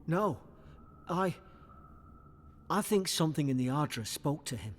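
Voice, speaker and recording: An earnest fantasy-game soldier with a fairly high voice for a male. His delivery is lively and insistent, rising and falling freely as he presses his companion. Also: unhurried. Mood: concerned.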